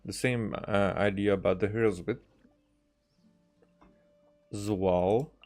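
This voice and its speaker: A man's voice: fairly low pitch, steady tone, slow, a little mellow, faint music behind. A man doing casual live game commentary, hesitant and thinking aloud as he goes. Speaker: video game streamer.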